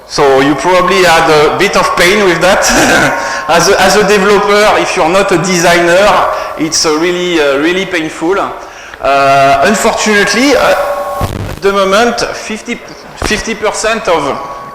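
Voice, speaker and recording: A man's voice: fairly high pitch, a bit bright. French-accented man in an echoey hall. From France. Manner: halting, many fillers and restarts. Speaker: conference speaker. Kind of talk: technical conference talk. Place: conference hall. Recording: echoey hall, faint room noise.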